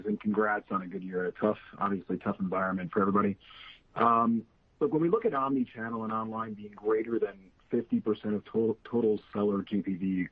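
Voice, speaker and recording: A man with a fairly low, slightly husky voice asks a question as a financial analyst. He talks in a conversational business style. He sounds like he is on a thin, narrow telephone connection. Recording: thin, narrow phone line.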